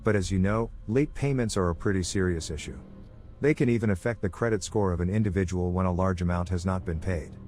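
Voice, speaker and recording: A man's voice: deep voice, a little fast, faint music behind, natural voice. Man voicing a polite, apologetic young woman clerk. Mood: matter-of-fact. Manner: calm, polite, rehearsed customer-service talk.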